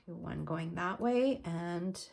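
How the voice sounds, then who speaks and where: A woman's voice: fairly low pitch, slow pace, gravelly, slightly rough. Stuffed-up woman demonstrating card making. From Canada. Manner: relaxed, step-by-step explaining while working. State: stuffed up from a cold. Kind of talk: crafting tutorial.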